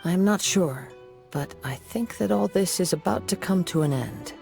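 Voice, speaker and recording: A man voicing a calm, polite young wandering swordsman in a video game, speaking gently and poetically. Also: high voice, normal speed, gravelly, faint music behind.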